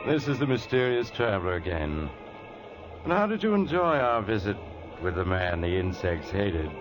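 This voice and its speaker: A sly mystery narrator with a low voice, teasing his listeners. The old radio recording sounds dull, with music swelling clearly behind him. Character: sly, mysterious male storyteller on a train.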